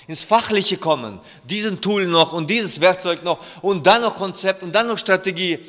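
A middle-aged man from Germany preaching a sermon, earnest and halting, with restarts and emphatic appeals. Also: fairly high pitch, sharp tone, in a large hall.

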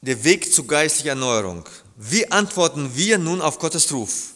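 A middle-aged man from Germany preaching a sermon, earnest and halting, with restarts and emphatic appeals. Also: on the high side, expressive, a bit bright, big-room sound.

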